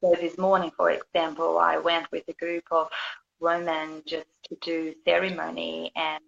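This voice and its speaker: A woman from Brazil with a Brazilian accent, a guest talking casually about her healing work, gently searching for words. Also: sits low, monotone, normal speed, vocal fry. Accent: Brazilian Portuguese. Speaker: guest, yoga and healing teacher. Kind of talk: casual conversation. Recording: dull lo-fi audio with faint background hiss.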